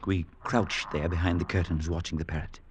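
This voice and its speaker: An actor playing an earnest, skeptical priest who narrates a radio drama in measured tones, with music behind him. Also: male voice, low voice, steady tone, very noisy, lightly acted. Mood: solemn. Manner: measured storytelling, anxious in dialogue.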